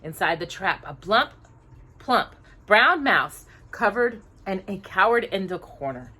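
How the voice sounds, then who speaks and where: Animated woman reading a children's storybook. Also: medium pitch, a little slow, husky. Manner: animated, asks listeners questions. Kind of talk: expressive read-aloud of a children's storybook.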